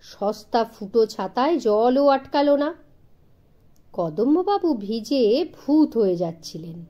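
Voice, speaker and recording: A woman narrating a children's story in an expressive, storybook style. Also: a little high, slow pace, dull audio.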